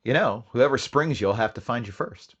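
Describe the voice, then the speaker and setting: A man's voice: medium pitch, lively intonation, normal speed, fairly bright tone, husky, no echo, highs cut off. An actor playing a clipped, matter-of-fact male police detective who also narrates a radio drama. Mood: interrogating. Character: terse male police detective, steady and dry.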